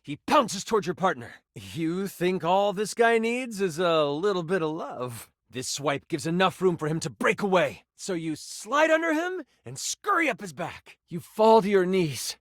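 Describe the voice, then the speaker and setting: Animated, dramatic game master. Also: male voice, sits high, unhurried, hardly any pauses, no room sound, studio quality. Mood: dramatic, immersive. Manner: expressive, dramatic, shifting into asides. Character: excitable, kindly male tabletop game master, dramatic storyteller. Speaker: game master character in a dating sim game. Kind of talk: theatrical tabletop role-play narration.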